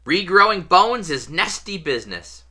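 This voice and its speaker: A reader voicing a stern, bossy woman school nurse who fusses and scolds briskly. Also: mid-range voice, big pitch swings, bright, forceful tone, voice pushed up.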